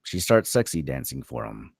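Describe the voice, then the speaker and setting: A man's voice: extremely low pitch, big pitch swings, normal speed, vocal fry, no room sound, clean studio sound. A man reading out screenplay stage directions as the narrator of a table read.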